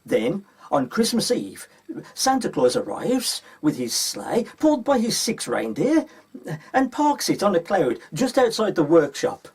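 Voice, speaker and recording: A man with a husky voice reading a children's story aloud as a storyteller. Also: high voice, wildly swooping pitch, measured pace, darkish tone.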